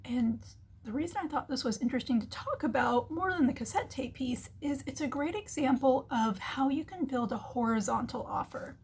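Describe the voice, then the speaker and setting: A woman's voice: high-pitched, lively intonation, moderate pace, a little mellow, breathy edge. A woman giving casual, chatty explanatory business commentary as the host, acting out little imagined remarks as she goes. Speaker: host of a marketing commentary show.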